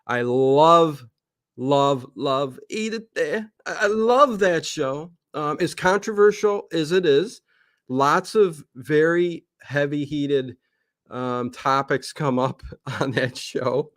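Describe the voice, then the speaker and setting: A man's voice: fairly high pitch, slow pace, a bit bright. A middle-aged man chatting casually as an interview guest, talking emphatically and repeating words to stress his points. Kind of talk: casual chat.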